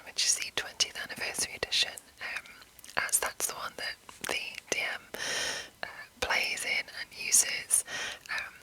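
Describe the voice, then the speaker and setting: A woman hosting ASMR, speaking entirely in a soft, breathy whisper as she gives an informal, rambling, hesitant explanation. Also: poor audio. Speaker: ASMR host.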